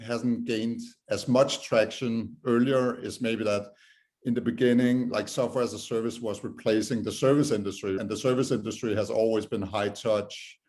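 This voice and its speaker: A man's voice: mid-range voice, darkish tone. A man with a Danish accent giving informal, explanatory answers as a guest in a conversational interview. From Denmark. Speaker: podcast guest, startup co-founder.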